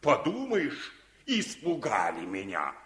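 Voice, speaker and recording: An actor playing an arrogant, greedy factory owner in a radio play, blustering and sneering with scorn. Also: male voice, mid-range voice, huge pitch swings, slow, a bit bright, echoey room, exaggerated voice. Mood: scornful. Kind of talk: Soviet radio play acting.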